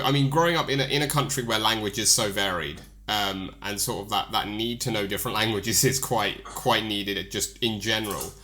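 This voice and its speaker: A man's voice: lowish voice, pushed and bright. A man from the UK hosting an interview, rambling through questions with lots of "like" and easy laughs. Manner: rambling, filler-heavy, friendly, laughs easily. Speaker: podcast interviewer. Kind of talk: casual interview chat.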